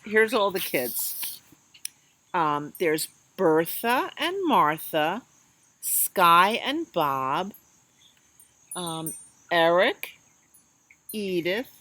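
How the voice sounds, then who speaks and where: Slow-paced woman reading to little kids. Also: lowish voice. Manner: sing-song, expressive, addressing children directly. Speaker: children's librarian, storytime host. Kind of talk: read-aloud storytime for young children.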